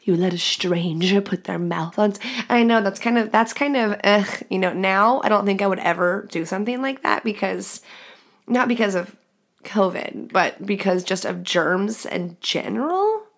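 A woman's voice: medium pitch, lively intonation, moderate pace. A young adult woman hosting a podcast, telling a crude story candidly and casually, laughing often. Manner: giggly, frank and crude, lots of laughing asides. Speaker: podcast host.

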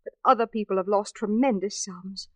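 A woman's voice: mid-range voice, fairly even intonation, normal speed, darkish tone, no room sound, natural voice. An actress playing a frightened, tender young woman in a 1940s radio melodrama, earnest and breathless.

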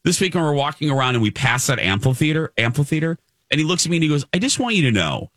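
A man hosting a morning radio show, chatting casually and effusively, often halting and repeating himself. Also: on the high side, very animated, a bit bright, no room sound, clean studio sound. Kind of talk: casual chatty radio talk with personal anecdotes.

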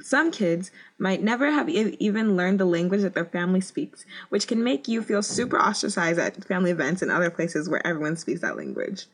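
A woman's voice: lowish voice, sing-song, a little fast, hardly any pauses, breathy edge, low-quality recording. A young American woman co-hosting a casual web video, upbeat and slangy. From United States. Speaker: co-host.

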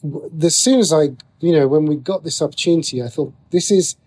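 A man's voice: on the high side, brisk, darkish tone, slightly rough. A man with a British accent talking as a guest writer in a conversational interview, explaining things in a chatty way.

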